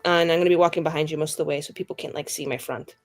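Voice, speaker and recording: A woman's voice: low-pitched, steady tone, racing, soft background music, voice pushed down. A woman voicing a haughty, teasing young female mage in a tabletop game, blustering and scolding as she goes. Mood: awkward bossy. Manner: blustering, scolding, self-correcting.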